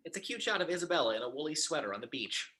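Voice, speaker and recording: A man with a husky voice reading scripted stage directions as narrator of a table read. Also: a little high, animated, very fast, sharp tone.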